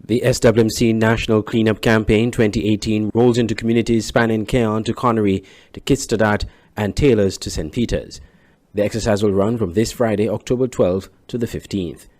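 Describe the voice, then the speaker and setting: A man's voice: lowish voice, fairly even intonation, brisk, darkish tone, soft background music. A man with a Caribbean accent reading scripted news narration as a reporter.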